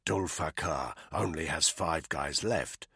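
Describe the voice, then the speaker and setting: A hard-bitten soldier giving quiet, clipped orders in a low, grim voice, as a narrator plays him. The voice is husky and dry, close and unadorned. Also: male voice, slow, no echo, exaggerated voice. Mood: grim, commanding. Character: hard-bitten male soldier, commanding and calm.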